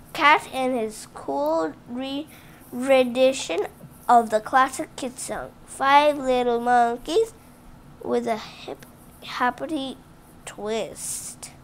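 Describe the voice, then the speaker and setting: A woman's voice: high-pitched, fairly bright tone. A female channel host reading a picture book aloud to children at home, stumbling and restarting on words and stopping for chatty asides to the kids. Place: indoors at home, book on a table.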